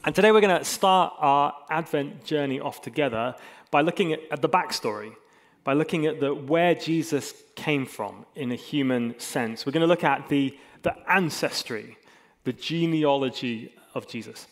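A man's voice: on the high side, moderate pace. A man preaching an informal, conversational sermon as a pastor, with self-deprecating humour. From England and Hong Kong.

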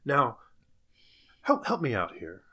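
A man acting an earnest middle-aged minister father who stammers and halts with hammy pauses, in a parody table read. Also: mid-range voice, wildly swooping pitch, pushed and bright, full character voice. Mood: bewildered.

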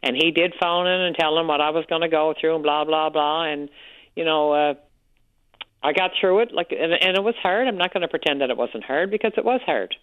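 A woman with a Newfoundland accent calling in to a radio show, loud-voiced and frank, talking on and on. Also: deep voice, a little fast, no room sound. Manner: frank, talkative storyteller. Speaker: caller, support group organizer. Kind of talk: radio call-in chat. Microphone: telephone. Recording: tinny phone line.

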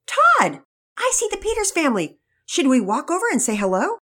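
A woman's voice: extremely high pitch, huge pitch swings, normal speed, bright, forceful tone, voice pushed up, full character voice. A woman voicing a caring but skeptical mother who speaks wryly about her son's newfound faith. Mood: friendly. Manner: wry, doubtful.

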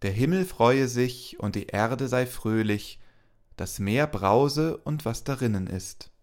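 A man's voice: medium pitch, lively intonation, slow, darkish tone. A man reading psalm verses and prayers as co-leader of a church service.

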